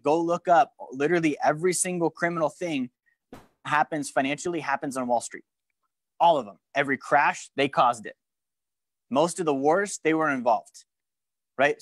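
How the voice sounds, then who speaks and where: A man's voice: fairly high pitch, no echo. Young man teaching a money class. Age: young adult. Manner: animated, gestures at a screen while teaching; frequent restarts and questions to the room. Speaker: financial course instructor. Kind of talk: live course lecture. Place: studio with a branded backdrop and a large screen.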